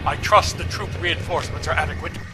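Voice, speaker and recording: A man's voice: on the high side, brisk, over music, natural voice. A man playing a cruel, domineering older baron and father in a video game cutscene, pompous and mocking. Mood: scheming, pleased.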